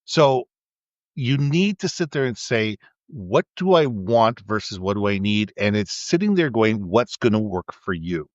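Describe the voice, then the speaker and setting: A man co-hosting a casual podcast, talking at length in a booming voice, with frequent restarts, into a USB mic kept a little away from his face. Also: sing-song, measured pace, no echo, highs cut off. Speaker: podcast co-host. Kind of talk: casual chat. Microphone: USB condenser mic with windscreen, held back from the mouth.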